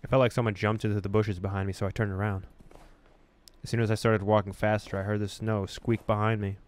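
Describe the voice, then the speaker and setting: Low-voiced man reading a horror story. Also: level tone, fast talker. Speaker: gaming video host. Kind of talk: dramatic read-aloud of a horror visual novel with casual asides.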